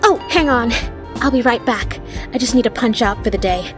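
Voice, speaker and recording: A brash, energetic young female bouncer from an audio drama, acted by a woman. Her voice sits fairly high and she talks in loose, rough-edged slang. Music plays clearly behind her. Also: moderate pace, lightly acted. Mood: upbeat, brash. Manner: casual, rough around the edges, slangy. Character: brash peppy young woman, tough bar bouncer and waitress.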